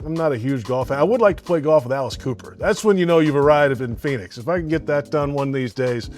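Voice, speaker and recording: A man's voice: medium pitch, a little mellow. A man who calls football on the radio, chatting in an easygoing way with fillers and laughs. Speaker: radio play-by-play announcer. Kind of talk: casual interview.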